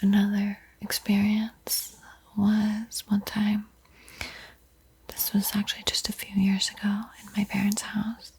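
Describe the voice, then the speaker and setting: A woman hosting an ASMR art tutorial in a soft, breathy whisper, gentle and unhurried, with frequent pauses. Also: medium pitch, monotone. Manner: slow, gentle, pausing often.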